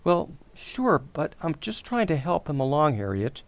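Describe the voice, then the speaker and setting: A man's voice: a little high, sing-song, unhurried. A man acting a well-meaning, opinionated suburban husband and father in an old-time radio sitcom, in a hesitant, folksy manner. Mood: mildly defensive. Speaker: actor in community radio theater.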